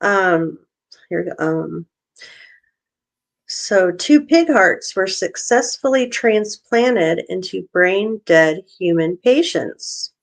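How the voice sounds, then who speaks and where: A woman's voice: on the low side, lively intonation, slow pace, gravelly. A woman co-hosting a casual vlog who rambles with lots of fillers, then reads a news story aloud. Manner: rambling, filler-heavy, squeamish. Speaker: co-host.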